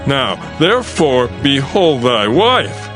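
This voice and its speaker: A man's voice: medium pitch, big pitch swings, slow pace, music in the background. An actor playing an imperious, indignant Egyptian king, declaiming reproachfully in a dramatized scripture recording.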